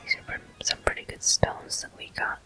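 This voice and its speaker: A whispering game host giving soft, deliberate ASMR commentary with frequent pauses, close to the microphone. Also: soft background music. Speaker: host of gameplay walkthrough.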